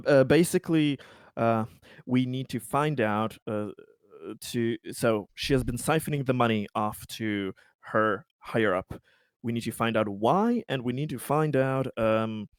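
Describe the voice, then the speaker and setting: A man with a medium-pitched voice talks strategy as a tabletop player. He stalls and repeats himself, then presses his points with emphasis. The sound is close and dry. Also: no echo. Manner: hesitant, repetitive, emphatic explaining. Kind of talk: casual strategic game discussion.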